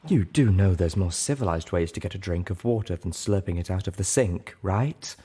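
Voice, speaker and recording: A man voicing a prim, caring female pony who speaks in a polite, gently reproving way during an audiobook. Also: deep voice, normal speed, husky. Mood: dry teasing.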